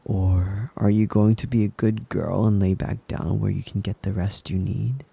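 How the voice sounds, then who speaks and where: Male actor as doting possessive wolf boy, very dark mellow tone, gravelly fry, intimate ASMR whispering, coaxing. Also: lowish voice, level tone, dry recording, heavily acted. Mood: coaxing, firm. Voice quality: breathy, husky, often dropping to a whisper. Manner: slow, coaxing, teasing endearments.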